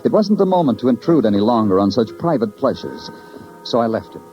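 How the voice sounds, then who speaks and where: A man playing a wry American police detective, narrating and questioning in clipped, deadpan 1950s radio noir style. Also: medium pitch, fairly quick, natural voice. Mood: wry, brooding. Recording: muffled old radio recording, music behind.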